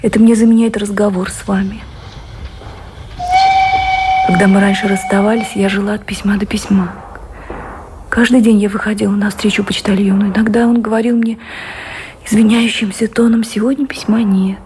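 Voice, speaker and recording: An actress playing an anxious, devoted gentlewoman in love, speaking earnestly in refined, wistful radio drama style. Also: medium pitch, level tone, normal speed, long pauses, slight background noise, close to an everyday voice. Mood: tender, wistful. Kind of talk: Russian radio drama acting.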